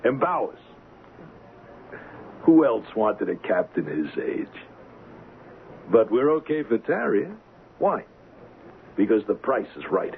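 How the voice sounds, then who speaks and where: An actor playing a bitter, mocking, hard-drinking ship's engineer turned blackmailer in an old-time radio drama. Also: male voice, lowish voice, sing-song, takes it slowly, long pauses, heavily acted. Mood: bitter, accusing. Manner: mocking, theatrical, taunting. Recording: lo-fi, noisy radio drama recording.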